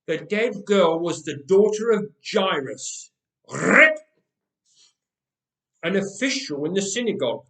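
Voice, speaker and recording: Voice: mid-range voice, very animated, slow, pauses often, fairly bright tone, roomy sound, exaggerated voice. The narrator voicing a senior woman professional mourner who is prim, genteel and condescendingly patient in a comic story. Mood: indignant.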